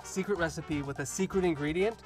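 A man's voice: on the high side, brisk, a little mellow. Teasing 40s guy hosting a cooking show outside in a garden, over-the-top exasperated, lapel mic, faint music under it. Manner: teasing, exaggerated, playfully exasperated. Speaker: co-host, TV personality. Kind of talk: comic cooking-show banter. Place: outdoor backyard garden.

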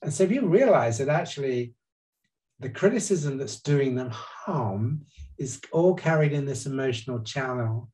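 A man's voice: mid-range voice, animated, moderate pace, darkish tone, poor audio. An older man, a guest psychologist, explaining earnestly over a webcam call from his living room, often restarting his sentences. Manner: earnest, gesturing with his hands, frequent restarts. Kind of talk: explanatory conversation on a video call. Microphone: webcam on a video call.

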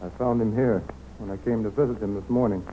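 Actor as earnest bereaved brother, muffled radio. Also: male voice, on the low side, steady tone, fast talker, natural voice. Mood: sober, earnest. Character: murdered man's brother, earnest, plain-spoken. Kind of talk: 1930s radio acting. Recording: muffled, hissy 1930s radio recording.

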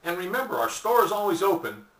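An older man giving a folksy, conversational sales pitch to camera as a product presenter, seated in a small room. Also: sing-song, fast talker, a bit bright. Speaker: product presenter for an online shop. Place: small indoor room at home or office, seated. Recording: echoey room, distant mic.

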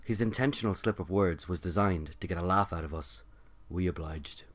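A man's voice: low voice, moderate pace. A man hosting and narrating a story podcast, reading from a script.

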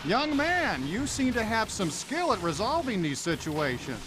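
A man's voice: high voice, huge pitch swings, measured pace, noisy background, heavily acted. A stern train conductor from a video game. He is middle-aged and officious, speaking in formal, clipped phrases with a pompous air. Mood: brisk, formal. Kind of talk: animated video game character acting.

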